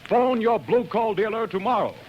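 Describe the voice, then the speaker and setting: A man announcing on 1940s radio in a crisp, emphatic, salesy way. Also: sits high, lively intonation, moderate pace, a little hiss or hum. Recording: dull old radio broadcast.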